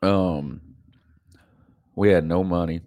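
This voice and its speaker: A man's voice: deep voice, fairly even intonation, slow pace, dark tone, no echo. A man hosting his own podcast, stuffed up with allergies, talking casually and rambling, often repeating himself. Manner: rambling, repeats himself, self-deprecating laughs. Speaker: podcast host. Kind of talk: casual solo podcast monologue.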